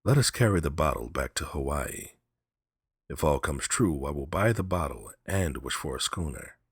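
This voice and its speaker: A man voicing a practical, persuasive male friend in a storybook reading, calm and coaxing. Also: a bass voice, moderate pace, breathy edge, no echo, clean studio sound, heavily acted. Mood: calculating.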